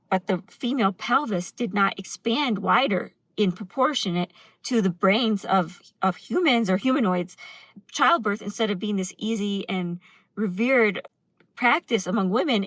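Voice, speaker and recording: A woman's voice: medium pitch, a bit bright, no room sound, poor audio. A woman talking earnestly and emphatically in a casual vlog monologue, speaking off the cuff. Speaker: video host.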